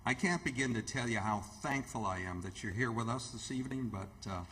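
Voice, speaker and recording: A man's voice: medium pitch, fairly quick, fairly bright tone, a little hiss or hum, natural voice. Man playing a tactful, businesslike hospital supervisor. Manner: tactful, businesslike, slightly hesitant. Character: polite but cautious hospital supervisor. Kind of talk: old-time radio theatre acting.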